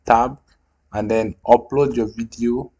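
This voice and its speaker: A man's voice: medium pitch, fairly even intonation, slow pace, dark tone, recorded from a distance, highs cut off. A man whose first language is French, talking through a screen tutorial with many fillers and restarts. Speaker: tutorial host. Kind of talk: casual screen-recorded tutorial.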